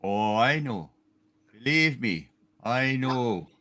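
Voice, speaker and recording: Man playing a gruff, deliberate cop. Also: mid-range voice, expressive, sharp tone, voice pushed down. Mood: knowing. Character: gruff, weary, no-nonsense male policeman.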